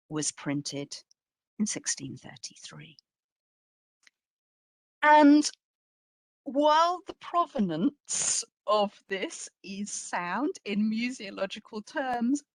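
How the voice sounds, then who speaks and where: A woman's voice: mid-range voice, dry recording. A woman giving a public history talk as a curator, reflective and emphatic, often posing rhetorical questions. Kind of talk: public history lecture, semi-scripted.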